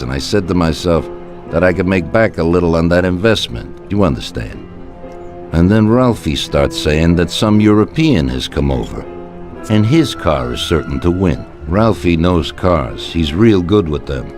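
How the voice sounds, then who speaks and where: Game casting: aging Italian-American don, rock-bottom pitch, deliberate and authoritative, faint music behind. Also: male voice, darkish tone. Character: older Italian-American mafia boss, calm and commanding. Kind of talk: video game cutscene dialogue.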